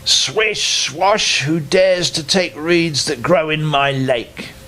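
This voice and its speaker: A man's voice: a little high, slow pace, sharp tone. Man putting on a wheezy old witch woman. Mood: menacing. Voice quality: wheezy, whispery. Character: bony old witch woman, menacing lake guardian.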